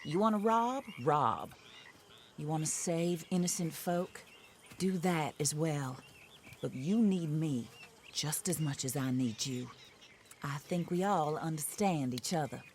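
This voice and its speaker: A cold, commanding widow in a western video game, formal and exacting, who lays out her plans for revenge without raising her voice. Her voice sits low and stays measured. A faint outdoor ambience sits behind her. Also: a little mellow, a little hiss or hum.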